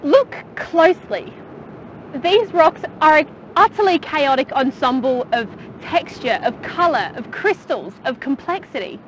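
A young woman talks through a geology lesson with playful enthusiasm, her voice set high. Light background rumble sits under her voice outdoors on a rocky beach. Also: animated, a little slow, low-quality recording. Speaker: geologist presenter. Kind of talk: scripted science explainer.

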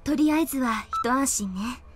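High-voiced, confident woman doctor played by an actress. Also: moderate pace, natural voice. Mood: reassuring. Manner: casual, assured, expressive. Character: confident, reassuring female doctor-scientist, brisk and friendly. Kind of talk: Japanese anime audio drama acting.

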